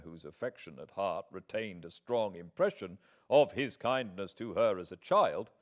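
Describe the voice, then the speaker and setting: A British man reading a classic novel as a proud, wronged gentleman, with formal, measured, deliberate phrasing. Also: medium pitch, close to an everyday voice. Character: proud, wronged English gentleman writing a formal, earnest letter.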